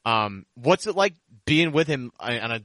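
A man's voice: medium pitch, sing-song, moderate pace, pushed and bright, dry recording. A man hosting a sports podcast in a conversational style.

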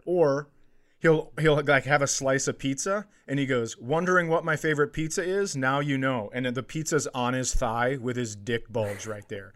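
A middle-aged male comedian hosts with a casual, conversational delivery at a medium pitch. He tells anecdotes crudely, swearing freely and voicing both sides of each exchange. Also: normal speed, fairly bright tone. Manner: crude, swearing, acts out dialogue. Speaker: podcast host, comedian.

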